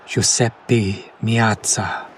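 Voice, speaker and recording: A man playing a condescending, smitten kidnapper in an ASMR roleplay, speaking in a hushed, breathy, lingering way. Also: fairly low pitch, level tone, extremely slow, a little hiss or hum. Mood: hushed, admiring. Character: condescending yandere male kidnapper, smitten with his captive. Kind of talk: whispered ASMR roleplay, slow soft recitation.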